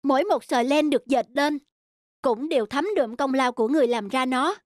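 A woman's voice: high voice, a little fast, dry recording, studio quality, natural voice. A woman voicing a kind, principled female angel of light in a cartoon, speaking in an earnest, formal way. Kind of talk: dubbed cartoon acting.

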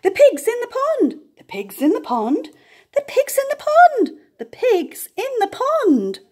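An older woman reading a children's picture book aloud, with expressive emphasis and playful animal sounds. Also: very high-pitched, a little slow. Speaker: storyteller. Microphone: camera above the book on a table.

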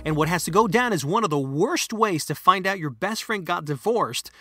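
A man's voice: high voice, expressive, moderate pace, fairly bright tone, dry recording, studio quality, soft background music. A man hosting a podcast, interviewing a guest and reading a pop culture news recap in an upbeat way. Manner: upbeat, laughs easily. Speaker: podcast host.

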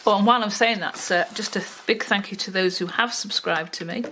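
Older woman, Wirral accent, rambling friendly craft-tutorial talk with plenty of ums, mid pitch, slight background hiss. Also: fairly quick, pushed and bright. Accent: Wirral, mix of Welsh and Liverpool. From Wales. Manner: chatty, many ums, talks to herself while working. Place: home craft table, indoors. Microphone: overhead camera, hands only.

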